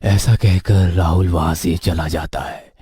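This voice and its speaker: Low husky man narrating horror. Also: fairly even intonation, unhurried, mellow, dry recording, clean studio sound. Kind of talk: scripted Hindi horror story narration.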